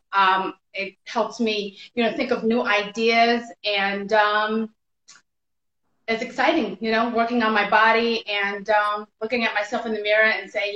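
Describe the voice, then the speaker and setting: Casting: bright, sharp-toned American woman in her thirties, chatty guest, echoey room, dull lo-fi call sound. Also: medium pitch. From United States. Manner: chatty, many fillers like um. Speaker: guest, fitness entrepreneur. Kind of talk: casual interview over a video call. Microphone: video call.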